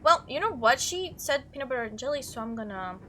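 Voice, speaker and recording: High bright girly gamer lady, super animated, reads game text n thinks out loud, bit of background noise. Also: a little fast. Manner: chatty, reactive, thinking aloud. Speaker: let's play host. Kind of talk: gaming commentary mixed with reading visual novel text aloud.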